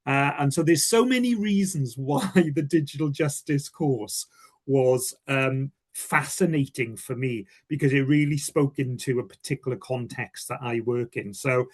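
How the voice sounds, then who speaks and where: Welsh-accented male communications professional, medium pitch, informal and reflective, self-correcting as he presents. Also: a little slow. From Wales. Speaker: communications director giving a testimonial. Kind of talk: informal first-hand presentation.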